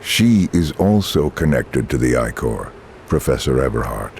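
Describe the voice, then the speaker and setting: An actor playing a calm alien intellect in a man's body for a game, speaking in formal, stilted, detached sentences. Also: rumbling low, measured pace, creaky, a little hiss or hum, exaggerated voice. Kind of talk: cinematic game voice acting.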